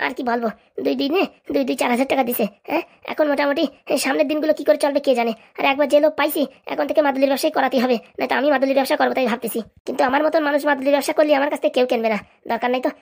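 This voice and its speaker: High-pitched, rapid-fire bossy begging-gang leader by a woman. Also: fairly even intonation, gravelly. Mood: grumbling. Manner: scolding, sarcastic, demanding. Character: bossy, grumbling, crooked ringleader of a begging racket. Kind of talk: comic cartoon dialogue.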